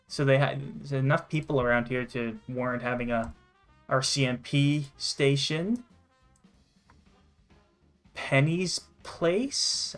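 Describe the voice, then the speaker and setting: A man chatting casually while playing a game, excitable and quick to swear. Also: mid-range voice. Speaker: gamer co-hosting a gameplay video.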